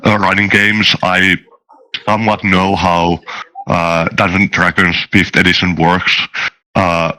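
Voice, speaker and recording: A man chatting casually as a guest, halting and thoughtful, often repeating words as he goes. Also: low-pitched, little pitch movement, bright, forceful tone, rough recording. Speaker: interview guest, tabletop game master. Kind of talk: casual chat.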